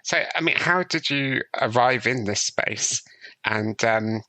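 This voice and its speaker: A man's voice: mid-range voice, slow pace, bright, forceful tone, no room sound, low-quality recording. A man hosting a podcast, polite and enthusiastic, introducing his guest and asking questions with a few hesitant restarts. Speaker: podcast host. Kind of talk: friendly interview hosting.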